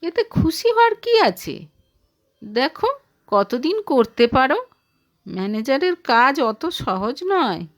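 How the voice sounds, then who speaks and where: Woman voicing a scornful husband, high incredulous pitch. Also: big pitch swings, slow, long pauses, heavily acted. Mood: dismissive. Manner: belittling, questioning. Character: dismissive, scornful, self-centred husband in a marriage drama.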